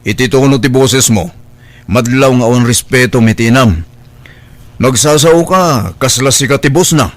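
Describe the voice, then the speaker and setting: Casting: reproving Filipino uncle played by a man, average male pitch, stern radio drama delivery. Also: moderate pace, pauses often, no echo, lightly acted. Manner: lecturing, reproving. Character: stern, protective adult uncle, admonishing. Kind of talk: Ilocano radio drama acting.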